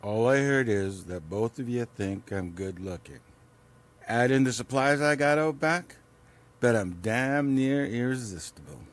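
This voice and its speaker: A man's voice: mid-range voice, lively intonation, a little slow, natural voice. A man playing a cocky, roguish survivor and raider in an audio drama, laconic and wry. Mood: cocky, teasing. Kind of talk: naturalistic audio drama acting.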